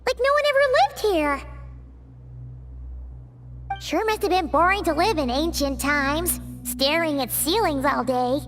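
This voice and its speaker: A tiny, bossy sidekick with a very high, bouncing voice that leaps all over the place. Her teasing, chatty lines ring out with a long, hall-like reverb in the game mix. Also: expressive, unhurried, pauses often, music in the background. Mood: chirpy. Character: tiny floating female companion, chirpy and bossy.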